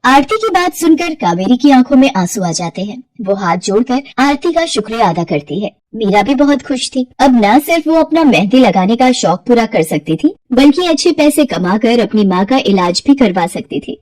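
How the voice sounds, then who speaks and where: A woman's voice: a little high, very animated, moderate pace, hardly any pauses, darkish tone. A woman narrating scripted moral stories as a storyteller.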